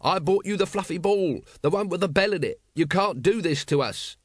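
A man's voice: medium pitch, brisk, dry recording, lightly acted. A man voicing an exasperated, sardonic watch captain in an audiobook, with an impatient manner. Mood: urgent shouting.

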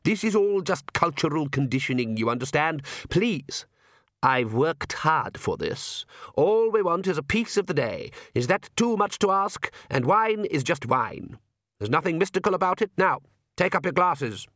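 Man acting pompous, excitable vampire count. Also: a little high, normal speed, dry recording, studio quality, pitched above the performer's own voice. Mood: earnest coaxing. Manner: pompous, persuasive.

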